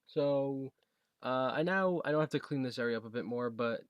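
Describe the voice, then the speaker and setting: A young American man talks casually as he shows off a gadget for a home video. His delivery is halting and rambling, at a middle pitch. The sound is dry, with very little room echo. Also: smooth, highs cut off. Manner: halting, rambling, reads box text aloud. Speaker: video creator showing a gadget. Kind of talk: casual unboxing chat.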